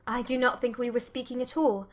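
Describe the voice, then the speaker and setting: Woman acting a spirited young regency lady, high voice, arch and teasing, audiobook drama. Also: flat delivery, fairly quick, close to an everyday voice. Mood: flustered, playful.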